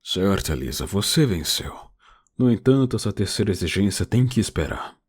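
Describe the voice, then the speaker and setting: A man's voice: fairly low pitch, very animated, normal speed, a little mellow, slightly rough. A man with a Brazilian accent acting a reluctant, formal older negotiator in an audio novel. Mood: grudging surrender. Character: reluctant, cornered older male negotiator, exasperated.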